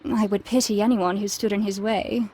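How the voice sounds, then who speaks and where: A woman's voice: medium pitch, steady tone, normal speed, dark tone, breathy edge, close to an everyday voice. A woman playing a composed young researcher in a video game, answering politely and precisely. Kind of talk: scripted game dialogue, giving testimony.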